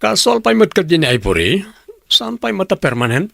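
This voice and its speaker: A chatty office worker trading company gossip in a radio play. His voice is fairly high with a gravelly edge, recorded dry. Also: huge pitch swings, normal speed, a bit bright, no echo, heavily acted. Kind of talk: radio drama acting.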